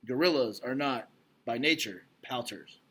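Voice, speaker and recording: A man's voice: mid-range voice, animated, a little slow, a bit bright, breathy edge. A man reading a children's storybook aloud as narrator. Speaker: read-aloud reader.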